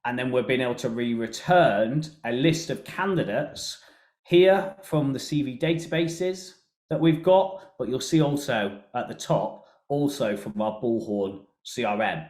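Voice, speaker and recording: A man with a mid-pitched voice presents a webinar in a conversational, explanatory style. The recording is echoey, with the room clearly audible around his voice. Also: measured pace, darkish tone. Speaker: software company presenter. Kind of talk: webinar product walkthrough. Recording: roomy, echoey sound.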